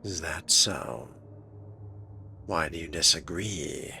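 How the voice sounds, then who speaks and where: A man's voice: a bass voice, takes it slowly, gravelly, bright, forceful tone, slight background noise, full character voice. A man voicing a young fledgling vampire in a video game, terse, inquisitive and a little impatient. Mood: curious. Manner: terse, probing questioner. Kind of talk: role-played video game dialogue.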